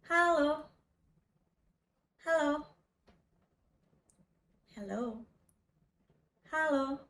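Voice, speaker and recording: A woman teaching a language lesson, speaking slowly and carefully and demonstrating phrases. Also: sits high, fairly bright tone, roomy sound. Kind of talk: slow, careful lesson explanation.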